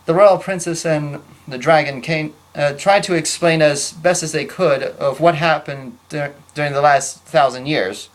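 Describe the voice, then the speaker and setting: A narrator reading a story aloud in a halting, stumbling way. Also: medium pitch. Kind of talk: amateur fan fiction read aloud, scripted narration.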